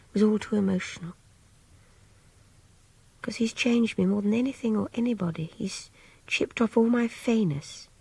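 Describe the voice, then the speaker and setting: A young English woman, reflective and idealistic, confides in a radio drama monologue. Her voice is husky and creaky, close and dry, often sinking towards a whisper. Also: mid-range voice, a little mellow, no room sound. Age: young adult.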